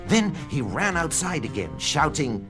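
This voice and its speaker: A man narrating a scripted children's read-along story in an expressive, dramatic storytelling manner. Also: fairly high pitch, unhurried. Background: background music.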